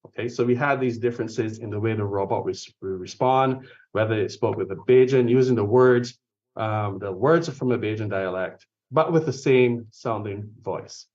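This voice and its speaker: A man's voice: on the low side, moderate pace, darkish tone. Distant man presenting research with fillers. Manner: explanatory, frequent fillers and self-corrections. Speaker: conference presenter on robotics research. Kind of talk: academic research talk. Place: conference venue or online session. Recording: distant, dull lo-fi conference recording.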